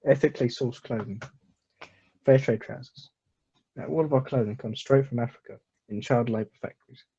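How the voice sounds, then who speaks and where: A man's voice: mid-range voice, moderate pace, mellow, slightly rough. A man presenting slides in a crude, mocking joking way, on a dull lo-fi recording. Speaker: comedy presenter.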